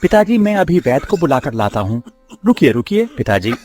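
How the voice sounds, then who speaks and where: A man's voice: a little high, very animated, a little fast, darkish tone. A man playing a humble, downtrodden and devoted village son in a cartoon story, earnest and pleading. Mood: panicked. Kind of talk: Hindi cartoon story acting. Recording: music behind the voice. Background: music.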